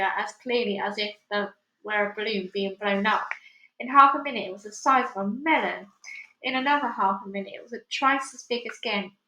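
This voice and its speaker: A woman reading a children's novel aloud in expressive storytelling narration. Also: on the high side, a little hiss or hum. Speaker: storybook reader. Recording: echoey room.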